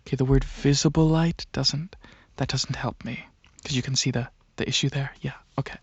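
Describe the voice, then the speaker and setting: A man performing soft-spoken ASMR roleplay as a calm alien dragon examiner, hesitant and clinical, with a breathy voice that slips into whispers. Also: mid-range voice, no echo, dull audio. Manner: hesitant, pausing, clinical questioning.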